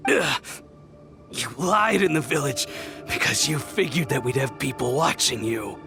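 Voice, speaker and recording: A contemptuous bandit schemer in a game cutscene. His mid-pitched voice is full of gravel and creak, with loud music playing behind him. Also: lively intonation, unhurried. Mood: bitter. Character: scheming, contemptuous male desert bandit villain. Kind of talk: video game cutscene voice acting.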